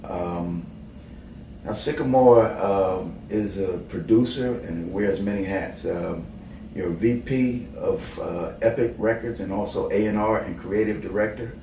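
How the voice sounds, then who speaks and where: A man from Bermuda, raised in New Jersey, hosting an interview in a formal, halting way with many pauses. He is recorded from a distant mic in an echoey room with faint noise. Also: low-pitched, dark tone. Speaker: host.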